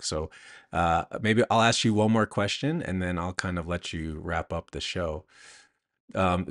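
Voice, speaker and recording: An American man hosting a podcast in casual, unscripted talk, with halting, hesitant phrasing. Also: low-pitched. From United States. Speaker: podcast host.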